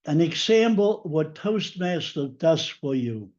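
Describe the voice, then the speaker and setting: A man on a video call speaking in a measured, reflective way. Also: on the high side, animated, darkish tone. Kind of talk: casual meeting talk. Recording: dull lo-fi call audio.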